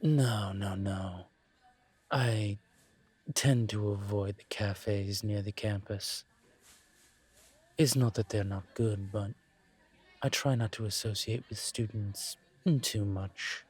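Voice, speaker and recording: A man voicing a suave, teasing incubus professor in an intimate audio roleplay, courteous and measured, with deliberate pauses. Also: fairly low pitch, steady tone, darkish tone, breathy edge. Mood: measured, gently wry. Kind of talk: intimate one-sided audio roleplay acting.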